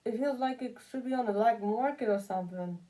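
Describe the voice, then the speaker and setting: A woman's voice: fairly high pitch, brisk, dark tone, low-quality recording. A woman giving casual, unscripted opinion commentary, rambling and repeating phrases for emphasis. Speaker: commentary video creator.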